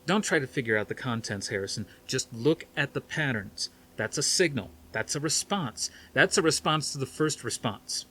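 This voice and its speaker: A man reading a storybook as an excitable, insistent male researcher with a loud, eager, emphatic delivery. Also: mid-range voice, normal speed, few pauses, slight background noise, pitched above the performer's own voice, low-quality recording. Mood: excited, insistent.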